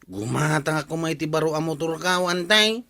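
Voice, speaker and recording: A man's voice: a little high, animated, moderate pace, bright, forceful tone, dry recording. A man playing an aggrieved, broke driver in an Ilocano comedy drama, complaining with an Ilocano accent. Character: aggrieved, broke husband with a worn motorbike.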